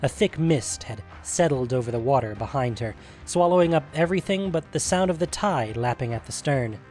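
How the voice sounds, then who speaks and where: A man's voice: medium pitch, expressive, brisk, a little mellow, poor audio. A man narrating a scripted fantasy story as the reader of a story podcast. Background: music.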